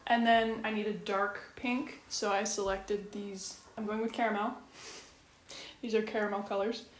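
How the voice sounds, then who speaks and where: A woman's voice: medium pitch, little pitch movement, moderate pace, slight background noise. A woman making a casual quilting video at home, talking in a chatty, wry, matter-of-fact way. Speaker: quilting vlogger. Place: home kitchen. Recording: echoey room with faint ambience.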